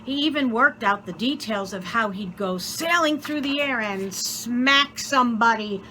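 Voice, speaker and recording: A woman reading a children's story aloud in an expressive, theatrical way, with deliberate dramatic pauses. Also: a little high, slight background noise, low-quality recording. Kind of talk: expressive scripted story narration.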